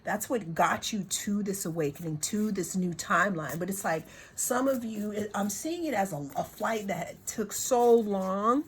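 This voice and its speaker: Slow hoarse woman reading cards. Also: mid-range voice, slight background noise. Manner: unhurried, circling phrasing with repeated words. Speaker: tarot and oracle card reader. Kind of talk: slow, reflective spiritual card reading.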